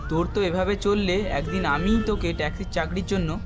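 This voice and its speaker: Comedy audio story role: male actor as sardonic taxi driver pal, high voice, needling, over loud music. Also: a little fast, close to an everyday voice. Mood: teasing. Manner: needling, joking. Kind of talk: comedy audio drama acting.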